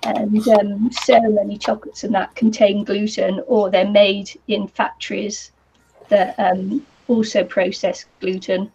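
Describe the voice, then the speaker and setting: Croaky-voiced New Zealand woman crafting. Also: medium pitch, level tone, unhurried, a little mellow. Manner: chatty, rambling, frequent ums and little laughs. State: croaky voice from the heat. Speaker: craft vlogger hosting a live stream. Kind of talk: casual chat while crafting. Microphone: computer or camera mic with a fan nearby. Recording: slightly dull lo-fi sound.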